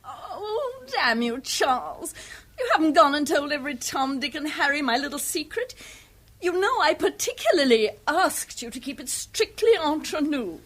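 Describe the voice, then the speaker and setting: A woman's voice: high voice, big pitch swings, moderate pace, fairly bright tone. An actress playing a flamboyant, scornful society woman with a gushing, affected drawl in a 1970s radio drama. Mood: indignant, flustered.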